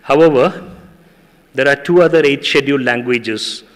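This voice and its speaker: A middle-aged man whose first language is Tamil, giving an earnest, measured speech as a guest speaker at a ceremony. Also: medium pitch. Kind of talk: formal speech at an event. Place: hall at a public ceremony. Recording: echoey hall with long reverb.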